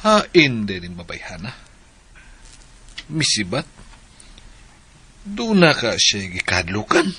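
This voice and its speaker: A man's voice: lowish voice, wildly swooping pitch, slow pace, long pauses, bright, forceful tone, slightly rough, heavily acted. A man playing an impatient young man in a radio drama. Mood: puzzled.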